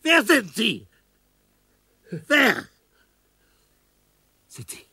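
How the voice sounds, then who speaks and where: An actor playing a dying, lonely old artist in a radio play, delirious and fearful, in a husky, breathy voice that speaks in halting fragments. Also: male voice, very high-pitched, wildly swooping pitch, bright, forceful tone, no room sound, studio quality, exaggerated voice. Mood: panicked. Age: older. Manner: halting fragments, whispers rising to frantic cries. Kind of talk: German radio play acting.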